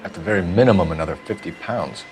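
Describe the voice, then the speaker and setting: An actor playing a serious police detective in a video game, with a clipped, matter-of-fact manner. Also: male voice, low voice, fast talker, background noise, close to an everyday voice. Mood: businesslike.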